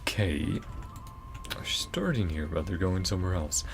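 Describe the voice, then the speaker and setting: Rambling, low-key man gaming, slightly creaky. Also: animated, unhurried, soft background music. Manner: rambling, easygoing, thinking aloud. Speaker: gaming video host. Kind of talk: casual gameplay reaction commentary.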